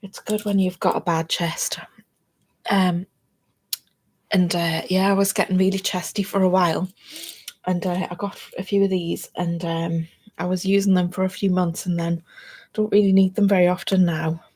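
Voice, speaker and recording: A woman's voice: lowish voice, little pitch movement, moderate pace, a little mellow, breathy edge. A woman with a North East English accent chatting to camera in a meandering way, her throat a little froggy and chesty. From North East England. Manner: chatty and meandering, drifting between topics. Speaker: vlogger. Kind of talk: casual chat to camera.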